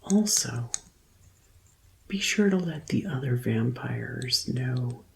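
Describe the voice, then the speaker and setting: Woman doing vampire tour guide asmr, slow whispery customer service voice, soothing, faint hiss behind. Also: rumbling low, expressive, creaky, a little mellow, heavily acted. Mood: soothing, helpful. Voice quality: whispery, crackling voice. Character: helpful female vampire tour guide and receptionist. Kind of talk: soft-spoken ASMR roleplay.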